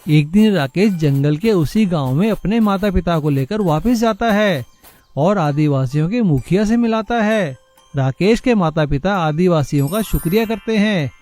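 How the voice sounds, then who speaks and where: A man's voice: a little high, darkish tone. A man reading scripted narration for a moral story. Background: music.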